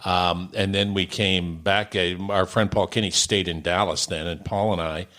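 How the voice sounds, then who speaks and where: An older American man reminiscing casually as an interview guest in a halting, thoughtful way. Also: sits low, steady tone, bright, forceful tone. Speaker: interview guest, former radio comic.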